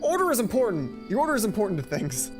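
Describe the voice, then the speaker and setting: A woman's voice: mid-range voice, very animated, quick pace. A woman reading a game script aloud and breaking off into playful, casual asides as a let's play streamer. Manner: playful, self-interrupting asides. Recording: music playing behind voice. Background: video game music.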